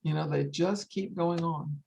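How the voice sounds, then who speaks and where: A woman's voice: sits low, a little slow, creaky, dark tone. An older woman speaking earnestly and informally to an audience, stressing her points by repeating phrases. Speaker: wildlife sanctuary founder hosting a story time.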